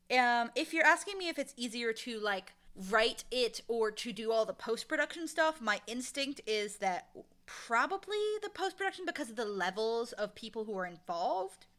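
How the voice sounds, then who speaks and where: A woman speaking casually as an interview guest, animated and emphatic, with a playfully blunt way of talking. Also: fairly high pitch, moderate pace. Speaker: interview guest, audio drama creator and casting director.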